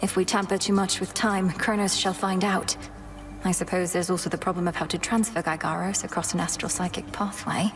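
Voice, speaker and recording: An actress playing a young witch princess in a video game, a determined and earnest sister with a formal, measured way of speaking. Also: lowish voice, flat delivery, music in the background, natural voice. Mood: urgent, earnest. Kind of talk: video game character dialogue.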